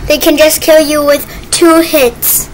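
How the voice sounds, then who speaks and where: A woman chatting casually and improvising role-play while gaming, excitable and bossy. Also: sits high, slow. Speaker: gaming video player and role-play partner. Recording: noisy game-play voice recording.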